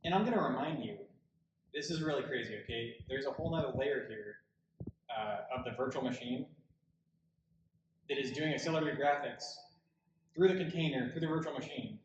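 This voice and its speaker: A man gives an informal technical talk with hesitant pauses mid-phrase. He is picked up from a distance in a large, very reverberant room, with faint noise behind him. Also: mid-range voice, dull audio. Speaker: presenter. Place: large conference room, indoors.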